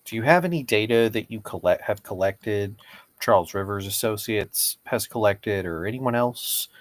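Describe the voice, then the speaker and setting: A lowish male voice of an amateur reader as an attorney taking a deposition. He questions formally and deliberately, pressing the witness for a direct answer. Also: lively intonation, no echo, lightly acted. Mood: insistent. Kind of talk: amateur read-aloud of a deposition transcript.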